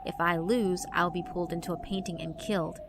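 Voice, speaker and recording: Woman acting defiant, low-voiced art teacher. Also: fairly even intonation, brisk, noisy background, natural voice. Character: proud, knowledgeable female art club advisor under threat. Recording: music behind the voice.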